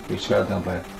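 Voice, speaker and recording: A man with a low, gravelly voice chats on a game stream, laughing often and tripping over his words. He sounds like he is in an echoey room with faint music behind. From Newfoundland. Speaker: co-host. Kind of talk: casual live-stream chat.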